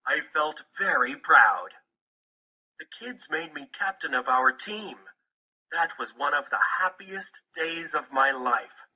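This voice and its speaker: A man's voice: on the high side, expressive, takes it slowly, bright, forceful tone, rough recording. A man reading a children's picture book aloud as scripted narration.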